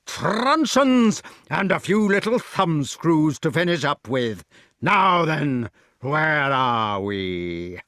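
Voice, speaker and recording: A man's voice: on the high side, very animated, a bit bright, no room sound, clean studio sound, pitched above the performer's own voice, full character voice. A man reading aloud and voicing a cruel, blustering giant who sneers and drags out his threats. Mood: sneering. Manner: sneering, drawn-out menace.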